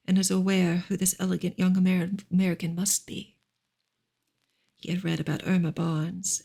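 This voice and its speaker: A woman reading a novel aloud as soft ASMR, breathy and partly whispered, in a gentle, measured storytelling manner. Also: mid-range voice. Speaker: ASMR reader and channel host.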